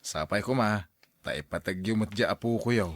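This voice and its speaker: A man's voice: lowish voice, moderate pace, no room sound, close to an everyday voice. Man playing earnest Ilocano son, close dry sound. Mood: pleading. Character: frank adult son reproaching his aging mother. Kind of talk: Ilocano radio drama acting.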